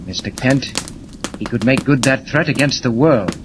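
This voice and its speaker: A man performs a learned inventor in an old radio adventure. He is formal and measured, with portentous gravity and a mid-range voice. Clear noise runs through the vintage 1940s recording. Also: medium pitch, expressive, natural voice. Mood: calm, portentous. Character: courteous, grave male scientist inventor of doomsday weapon. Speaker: scientist. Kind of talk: 1940s radio serial acting.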